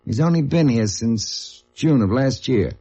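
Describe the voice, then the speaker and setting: An actor playing a cooperative, businesslike union agent with an American accent, in 1950s radio drama style. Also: male voice, medium pitch, animated, measured pace, warm tone, husky. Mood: matter-of-fact. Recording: muffled old radio recording.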